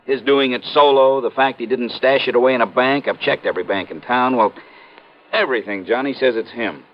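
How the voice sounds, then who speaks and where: An American actor playing a dogged, frustrated police lieutenant in 1950s radio drama, talking colloquially and emphatically. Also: male voice, medium pitch, a little fast, natural voice. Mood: frustrated, insistent. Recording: dull, noisy old radio recording.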